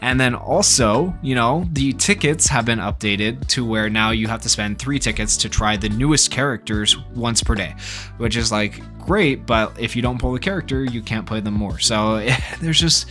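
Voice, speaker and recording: A man's voice: mid-range voice, normal speed, pushed and bright. Casual, rambling man over background music. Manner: rambling, off-the-cuff, informal. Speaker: gaming YouTuber. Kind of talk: casual video commentary on a game.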